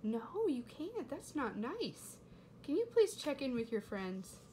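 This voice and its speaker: A woman reading a storybook aloud to children, expressive, with simple explanatory asides. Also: sits high, unhurried, a little hiss or hum. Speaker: read-aloud host for children.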